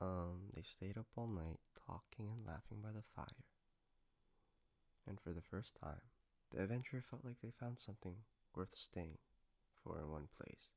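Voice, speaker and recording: Tender low-voiced boyfriend, played by a man, gravelly. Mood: sleepy storytelling. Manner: tender, soft, halting, playful. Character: gentle, doting male partner soothing a lover after a nightmare. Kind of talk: intimate comfort audio roleplay.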